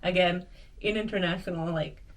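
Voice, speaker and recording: A young adult woman with a slightly low voice, a native Spanish speaker, talking loosely and easily as an interview guest. Her sentences trail and restart around frequent "like"s. The mic sits some way from her. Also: moderate pace. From Finland and Peru, grew up in Mexico. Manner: relaxed, many "like" fillers, laughs easily. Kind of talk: casual chat. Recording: recorded from a distance.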